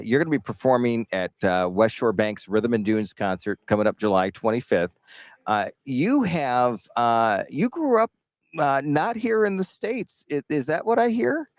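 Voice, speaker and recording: A man's voice: mid-range voice, lively intonation, normal speed, no room sound. A man hosting a radio interview, asking questions with many uhs and restarts. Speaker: radio host.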